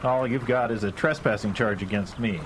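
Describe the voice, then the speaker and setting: An actor in a live radio drama playing a humble, weary caretaker, plain-spoken and earnest. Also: male voice, on the low side, normal speed, close to an everyday voice. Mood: resigned, earnest. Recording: noisy live radio play, watery ambience.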